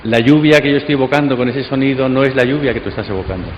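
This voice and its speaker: A man's voice: mid-range voice, rapid-fire, highs cut off. Man commenting in echoey hall. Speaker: audience participant. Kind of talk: spontaneous discussion comment. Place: conference hall. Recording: echoey hall, faint noise.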